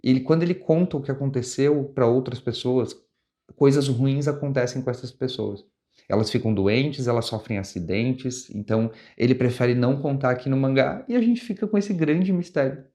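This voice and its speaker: A man's voice: medium pitch, moderate pace, dark tone. A young adult man with a Brazilian Portuguese accent, talking casually as host of a comics review channel, often restarting and rephrasing as he goes. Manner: conversational, with frequent restarts and rephrasing.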